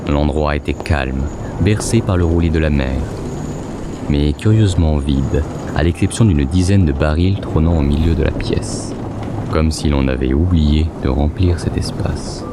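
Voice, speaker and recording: A man narrating an audio fiction in a measured, literary storytelling style. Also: extremely low pitch, heavy background noise.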